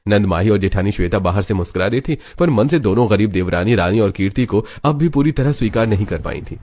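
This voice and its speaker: Storyteller: man with a lowish voice, narrating a scripted cartoon family drama. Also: lively intonation, fast, a little mellow.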